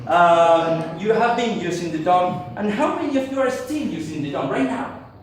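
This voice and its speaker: A man from Argentina gives a tech talk to a conference audience in English, his second language, halting and full of fillers. His voice sits slightly high for a man. It is picked up from afar in a strongly reverberant hall with faint background noise. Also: lively intonation. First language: Spanish. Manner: halting, many fillers and restarts. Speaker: conference speaker. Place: conference room. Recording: echoey hall, speaker far from mic.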